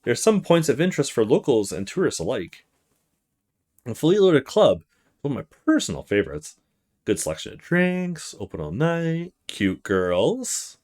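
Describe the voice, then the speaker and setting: A man's voice: medium pitch, big pitch swings, moderate pace, darkish tone, close to an everyday voice. A man voicing a friendly, slightly roguish old taxi driver with a folksy, chatty manner in a game. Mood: chatty, helpful. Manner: folksy, chatty, eager to give tips.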